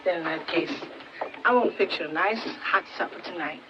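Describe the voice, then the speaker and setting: A woman's voice: on the low side, moderate pace, natural voice. An actress playing an elderly grandmother with a Southern US accent, plain-spoken, caring and world-weary, in folksy dialect. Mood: tender, fussing. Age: older. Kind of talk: 1940s film drama acting. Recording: muffled noisy 1940s film soundtrack, distant.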